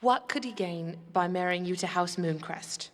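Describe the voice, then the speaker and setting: A woman's voice: on the low side, normal speed, natural voice. A woman playing an earnest, questioning confidante in a fantasy audio drama, with formal speech. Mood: earnest, doubtful. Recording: long reverb, hall-like.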